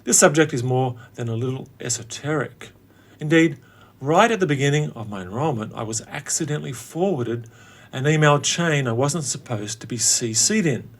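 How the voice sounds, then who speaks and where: A man in his late forties narrating his own story as a host, in a wry, theatrical way with dramatic pauses. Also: mid-range voice, animated. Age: late 40s. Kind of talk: scripted personal narration.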